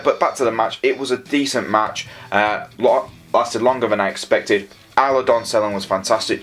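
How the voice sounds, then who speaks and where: A man's voice: medium pitch, fairly bright tone. Yorkshire man reviewing over music. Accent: Yorkshire English. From Leeds, England. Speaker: wrestling show reviewer. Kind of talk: casual scripted-free review commentary.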